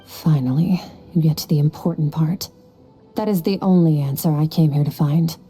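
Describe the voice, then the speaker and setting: A cold, dark-voiced swordswoman. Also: sits low, normal speed, breathy edge. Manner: cold, flat, unemotional. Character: cold, detached adult swordswoman. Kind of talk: video game cutscene dialogue. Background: faint music.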